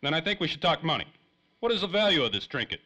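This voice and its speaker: A man's voice: a little high, big pitch swings, fast, sharp tone. A man playing a hardboiled, wisecracking private detective in a 1930s-style radio drama, with clipped tough-guy talk. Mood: wry, tough.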